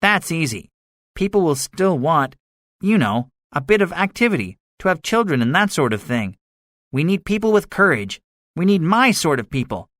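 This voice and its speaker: A man's voice: fairly high pitch, big pitch swings, moderate pace, fairly bright tone, no room sound, studio quality, pitched above the performer's own voice. A man voicing a cynical, boastful soldier survivor in a dramatized story, speaking emphatically with a swaggering manner. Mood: boastful, scheming. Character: cynical, boastful male soldier, excitable survivor with grand schemes. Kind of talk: dramatized audiobook dialogue.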